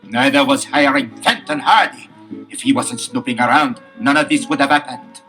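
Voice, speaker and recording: An actor playing a ruthless, arrogant athlete villain with a rough voice in a melodramatic audio adventure. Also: male voice, medium pitch, fairly even intonation, moderate pace, sharp tone, natural voice, low-quality recording. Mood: bitter, threatening. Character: ruthless sneering male hockey-star villain, bitter and arrogant. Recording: 1970s LP radio-play, faint music.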